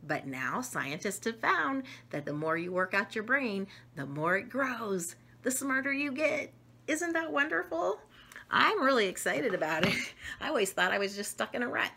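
Bright, animated woman reading to kids. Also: fairly high pitch, normal speed, vocal fry, slightly rough. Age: middle-aged. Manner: enthusiastic, expressive, talks directly to young listeners. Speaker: read-aloud storyteller. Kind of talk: animated picture-book read-aloud with asides to children. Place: small domestic room indoors.

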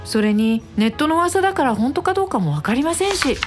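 Casting: chatty female secretary, fairly high lively voice, courteous gossip, radio drama over faint music. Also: fairly quick. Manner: deferential, chatty. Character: polite, gossipy female office assistant.

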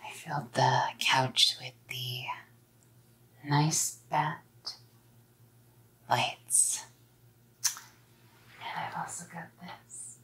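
A young woman playing an obsessive, menacingly sweet psycho girlfriend in a whispered ASMR roleplay, cooing and softly threatening. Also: very deep voice, very slow, long pauses, slightly rough, exaggerated voice, poor audio. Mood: affectionate. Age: young adult. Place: small dim room indoors. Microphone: close ASMR mic.

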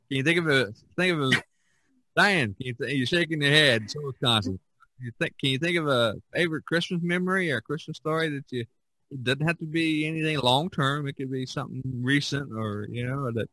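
A man's voice: medium pitch, bright, forceful tone, no echo, rough recording. A middle-aged or older American man from Indiana hosting a casual podcast chat, often chuckling as he talks. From Indiana, United States. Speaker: podcast host.

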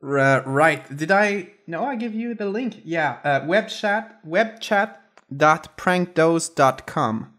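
Fairly high-voiced man hosting prank calls. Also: slow pace. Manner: bossy and pushy on prank calls, relaxed between them. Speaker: prank call show host. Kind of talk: casual podcast chat.

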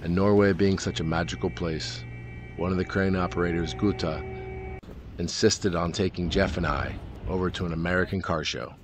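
A man's voice: low voice, fairly even intonation, normal speed. A man in his thirties narrating his ship's video diary in an easygoing, conversational voice-over.

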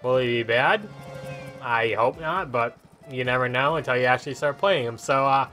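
A man with an average-pitched voice hosts a gaming video. He comments casually and rambles, reacting aloud to what is in front of him. Game music plays behind his voice. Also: normal speed, bright, forceful tone.

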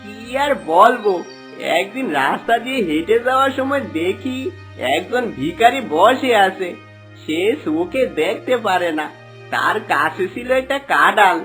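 A man's voice: high voice, expressive, unhurried, fairly bright tone. Man acting a plaintive, remorseful villager, music behind. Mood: rueful. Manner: pleading, plaintive. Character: remorseful greedy male villager, pleading for mercy. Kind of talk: cartoon moral tale acting.

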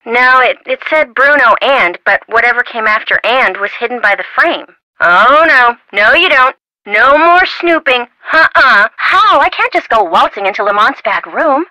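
An actress playing a perky, nervous young woman sidekick in a video game, with an American accent and a chatty, wry, expressive delivery. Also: fairly high pitch, dry recording, heavily acted. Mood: exasperated. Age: young adult. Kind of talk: scripted video game dialogue, phone-call chatter.

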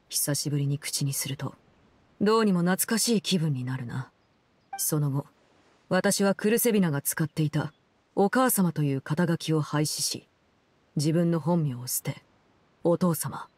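Cool composed anime game boss lady, formal n measured, detached, super dry studio audio. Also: sits low, darkish tone, dry recording. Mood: calm, reflective. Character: cold, composed, commanding female Fatui Harbinger in her prime. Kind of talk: Japanese anime game voice acting, solemn storytelling.

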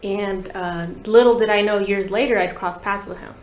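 A woman's voice: medium pitch, steady tone, moderate pace. A woman in her thirties chatting casually as an interview guest, telling anecdotes in slangy language and acting out quoted dialogue. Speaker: guest musician, talk box player. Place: small podcast studio, indoors. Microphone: desk microphone. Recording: roomy studio echo.

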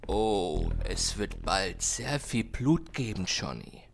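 A man's voice: lowish voice, very animated, vocal fry, slight background noise, full character voice. An actor playing a vengeful rival mob boss in a radio play, gloating and drawing out his taunts. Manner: gloating, drawn-out.

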